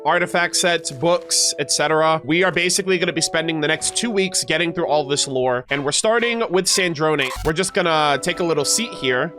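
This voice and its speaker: A man's voice: fairly high pitch, brisk, bright, forceful tone. A man hosting a gaming video, chatting casually and enthusiastically as he reacts to game lore and reads it aloud. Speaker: game reaction content creator and host. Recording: music playing behind the voice. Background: guitar and instrumental music.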